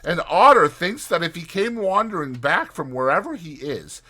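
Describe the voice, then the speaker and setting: A man's voice: fairly high pitch, big pitch swings, moderate pace, bright, forceful tone, voice pushed up, heavily acted. A man voicing an earnest, worried male water rat in a dramatized storybook reading, speaking in a grave, emphatic way. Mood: grave, tender.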